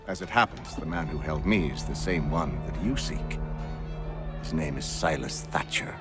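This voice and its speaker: A man's voice: extremely low pitch, animated, normal speed. A man voicing a bitter, spiteful freed captive in a game cutscene, with music behind. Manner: agitated, spiteful, shouting threats. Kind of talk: video game cutscene acting.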